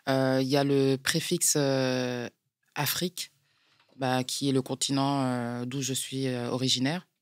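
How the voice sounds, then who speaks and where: A woman with a French accent, a brand founder answering interview questions in a measured way, pausing often to find her words. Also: very deep voice, level tone, no room sound. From France. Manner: measured, explains step by step with frequent hesitations. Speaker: guest, clothing brand founder. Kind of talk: interview conversation.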